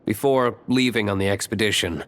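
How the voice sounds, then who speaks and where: An actor playing a brooding, reserved young tavern keeper in a video game, measured and dry. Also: male voice, fairly low pitch, a bit bright, natural voice. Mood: guarded. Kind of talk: video game cutscene acting.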